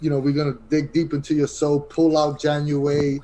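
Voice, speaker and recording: A man's voice: fairly high pitch, monotone, brisk, warm tone, poor audio. A man interviewing a guest in a casual, conversational way as a podcast host.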